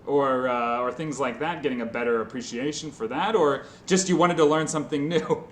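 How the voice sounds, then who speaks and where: A man's voice: medium pitch, brisk. Rambling man in a roomy space. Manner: rambling, encouraging, many fillers. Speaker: interviewer. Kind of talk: casual conversation. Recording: roomy with faint noise.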